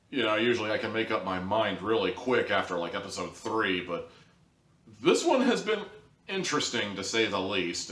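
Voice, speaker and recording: A man's voice: on the low side, a bit bright, far from the mic. A man giving a casual, opinionated review with a blunt, sarcastic manner. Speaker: online audio drama reviewer. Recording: echoey room, off mic.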